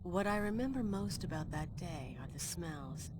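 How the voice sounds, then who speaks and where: A woman with an American accent playing a bold, wry, determined young adventurer in a video game cutscene, with brisk, resolute delivery. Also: lowish voice, lively intonation, a little mellow, a little hiss or hum, close to an everyday voice. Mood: reflective, wry.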